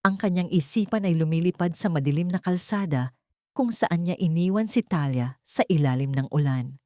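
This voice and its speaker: A woman's voice: fairly low pitch, normal speed, mellow, no room sound, studio quality. A woman reading a scripted story as a dramatic narrator.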